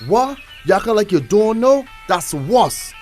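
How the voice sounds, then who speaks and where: A man's voice: sits high, very animated, a little slow, a little mellow, faint music behind. A man with a Caribbean accent playing a hot-tempered, threatening man out for revenge in a stage drama. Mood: angry, threatening.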